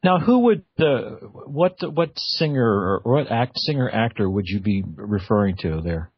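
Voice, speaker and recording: Low, husky male radio host. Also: big pitch swings, moderate pace, no room sound. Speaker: radio talk show host. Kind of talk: casual radio interview. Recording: dull, lo-fi radio audio.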